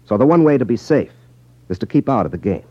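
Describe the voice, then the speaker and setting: An American actor playing a cool, wry magician detective in a 1950s radio drama, with a teasing, unruffled manner. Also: male voice, mid-range voice, sing-song, fast, dry recording. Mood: genial, confident. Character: cool, wry magician detective who exposes gambling rackets. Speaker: lead actor in a detective series. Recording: muffled 1950s radio broadcast recording.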